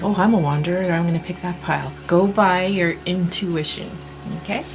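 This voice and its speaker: A woman in her thirties giving a tarot reading in a soft, reassuring way, clearing her throat as she loses her voice. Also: lowish voice. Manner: soft, slow, reassuring delivery with many restarts. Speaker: tarot reader. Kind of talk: pick-a-card tarot reading.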